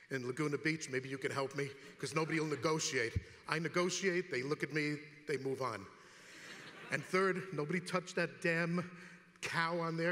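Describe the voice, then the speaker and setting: A man's voice: fairly high pitch, bright, forceful tone, slight background noise. A man in his sixties with a New York accent, speaking bluntly and jokingly in short punchy lines at a conference. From New York City, United States. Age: 60s. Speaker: shipping executive, conference speaker. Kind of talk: informal conference keynote. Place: large conference stage, indoors. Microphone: lapel. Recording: hall reverb from a stage sound system.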